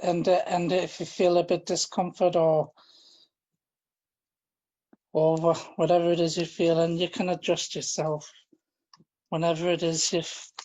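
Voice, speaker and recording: A man leading a guided relaxation, speaking in a gentle, informal and halting way, often repeating his phrases. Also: on the high side, muffled recording. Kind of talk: guided relaxation, meditation instruction.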